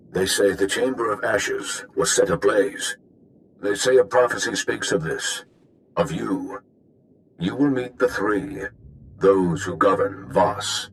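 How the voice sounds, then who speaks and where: A man's voice: sits low, slight background noise, poor audio. An actor playing a dignified, deferential alien kinsman in a video game, delivering messages in formal, grave, clipped sentences. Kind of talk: dramatic video game acting.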